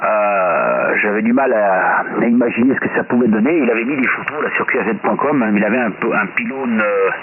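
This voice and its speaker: A man's voice: lowish voice, a little fast, hardly any pauses, crowd noise. A man with an accent from France chats casually as a ham radio operator, rambling through technical talk and tagging his phrases with little questioning checks. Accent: French from France. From France, Paris region. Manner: rambling, often ending phrases with a questioning tag. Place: heard over amateur radio link. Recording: noisy, phone-like shortwave radio transmission. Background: loud radio static and noise.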